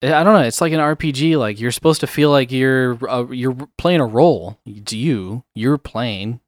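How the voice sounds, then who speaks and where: A man's voice: on the low side, no room sound, clean studio sound. A man chatting casually about games, rambling with many fillers and chuckles. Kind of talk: casual chat.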